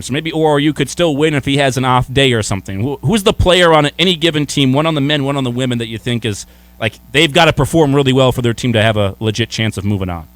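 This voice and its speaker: A man's voice: medium pitch, fairly bright tone, no room sound. A man hosting a sports radio show, teasing his guest and asking questions in a casual way. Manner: quick, teasing, asks questions. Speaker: radio host.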